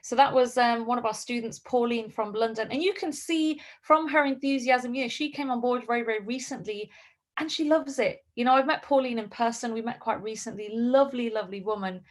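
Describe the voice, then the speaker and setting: Brief: upbeat woman host on a video call, high-ish voice, gushing praise. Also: fairly quick. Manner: upbeat and effusive, repeats words. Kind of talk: hosting an online group call.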